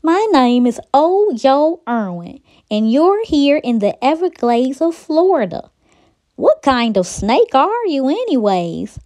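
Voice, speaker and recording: A woman's voice: high-pitched, very animated, slow pace, dark tone, exaggerated voice. A woman voicing a big old male alligator with a folksy American country drawl, friendly, in a children's storybook. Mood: folksy, curious.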